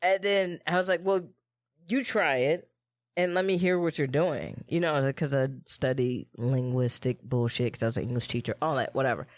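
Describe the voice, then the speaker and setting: A woman's voice: extremely low pitch, normal speed, no room sound, clean studio sound. A woman with a Midwestern American accent, co-hosting a casual podcast and telling stories with plenty of swearing. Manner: animated storytelling, frequent swearing. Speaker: podcast co-host. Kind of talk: casual chat.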